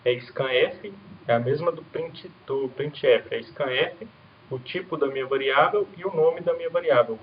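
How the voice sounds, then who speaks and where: A man's voice: on the high side, a little slow. A man teaching an introductory programming class, explaining step by step in a casual way and often checking in with "right?". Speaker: programming instructor. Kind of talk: recorded coding lesson with screen demo. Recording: roomy, dull lo-fi sound, faint hiss.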